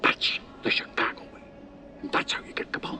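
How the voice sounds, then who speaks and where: An actor playing a tough street cop giving blunt, hard-edged advice. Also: male voice, quick pace, a little mellow, a little hiss or hum, lightly acted. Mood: grim.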